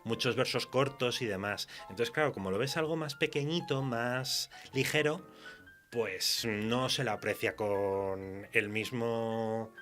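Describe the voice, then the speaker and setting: Spanish guy w castilian accent, chatty, keeps searching for words, soft music under him. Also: mid-range voice. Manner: colloquial, halting, searching for words. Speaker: horror fiction writer. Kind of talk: casual conversation.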